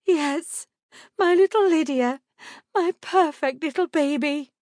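Audiobook period mother, acted by a female narrator: high, fretful, plaintive, anxious and pleading. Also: animated, moderate pace, darkish tone, no echo, studio quality. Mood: tearful, doting. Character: anxious, pleading, tearful mother of five daughters.

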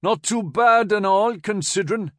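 A man's voice: sits high, normal speed, a bit bright, dry recording, studio quality, voice pushed up. A man voicing a testy, dour army corporal with a broad Newcastle accent in an audiobook, calling out dryly. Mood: dolorous.

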